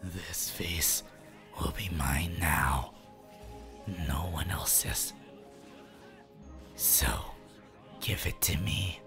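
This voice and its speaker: A man voicing a creepy, possessive young anime villain in a slow, breathy, rasping whisper for an ASMR roleplay. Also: sits low, music in the background, pitched below the performer's own voice. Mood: obsessive, craving. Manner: slow, obsessive cooing.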